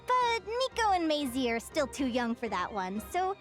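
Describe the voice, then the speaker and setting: A woman voicing a gentle, scholarly young storyteller in a video game, speaking with measured patience over music. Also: high voice, big pitch swings. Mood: gentle, amused. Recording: music playing behind the voice.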